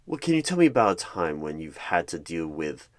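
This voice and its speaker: A man in an ASMR roleplay as a hiring manager, asking interview questions in a measured, deadpan manner. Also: sits low. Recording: clear background noise with keyboard typing. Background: computer keyboard typing and clicks.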